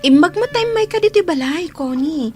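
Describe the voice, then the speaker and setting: An actress as a chatty young friend with a high voice and an Ilocano accent. She teases and exclaims in radio drama style, her pitch swooping up and down. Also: normal speed, soft background music. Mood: teasing, chatty. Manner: animated, exclaiming.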